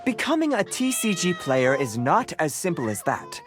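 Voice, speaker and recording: A woman's voice: on the low side, very animated, normal speed, loud music behind the voice. A woman playing a poised, earnest card-game master in a video game, explaining things formally. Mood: instructive. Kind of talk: video game character acting.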